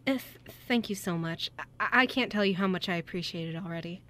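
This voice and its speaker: An anxious young fashion model played by a woman in an amateur radio play. She speaks hesitantly and apologetically, stumbling over words in a bright voice. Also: on the low side, quick pace. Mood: apologetic, distracted. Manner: hesitant, stammering.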